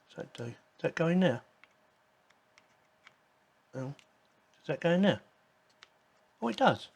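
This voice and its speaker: A man's voice: fairly high pitch, sing-song, unhurried, vocal fry, husky. A man giving a casual hands-on unboxing and review, informal and rambling as he thinks aloud. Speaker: host of a tech review channel.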